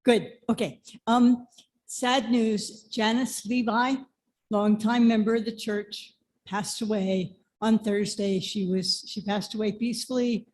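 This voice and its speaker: A woman's voice: medium pitch, warm tone. A woman from the congregation giving an informal announcement at a church service. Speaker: congregation member making an announcement. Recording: faint background noise, room ambience.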